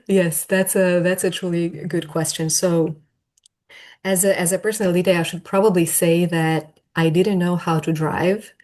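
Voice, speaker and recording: A woman with a slightly low voice and a Romanian accent answers as an academic podcast guest. Her talk is conversational and reflective, often rephrasing itself. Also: steady tone, unhurried, a little mellow. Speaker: podcast guest, architecture professor.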